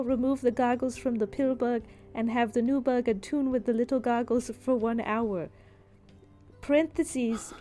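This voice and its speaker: Higher-pitched woman running a tabletop game. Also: warm tone. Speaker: game master voicing a shopkeeper in a tabletop RPG podcast. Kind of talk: improvised tabletop game narration.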